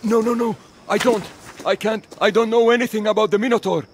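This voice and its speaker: A man's voice: high voice, steady tone, a little fast, slight background noise, close to an everyday voice. A man voicing a frightened older merchant in a video game, panicky and pleading. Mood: panicked. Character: frightened older male merchant, nervous hoarder.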